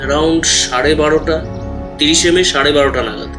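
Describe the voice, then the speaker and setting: A man's voice: fairly high pitch, moderate pace, music in the background, close to an everyday voice. A man playing a deferential hotel employee who answers questions politely and explains carefully in an audio drama. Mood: deferential, explaining. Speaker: voice actor in an audio detective drama. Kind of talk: acted dialogue with background music.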